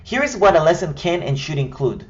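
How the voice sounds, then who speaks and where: A man's voice: on the high side, big pitch swings, a little fast, dull audio. Israeli-accented man teaching step by step. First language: Hebrew. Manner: structured, step-by-step, direct. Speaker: online course coach. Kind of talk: scripted tutorial.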